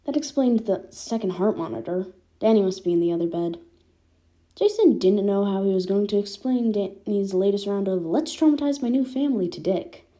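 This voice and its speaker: Mellow, dark-toned woman reading a story. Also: medium pitch, normal speed. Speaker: podfic reader. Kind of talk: scripted fan fiction narration with character dialogue.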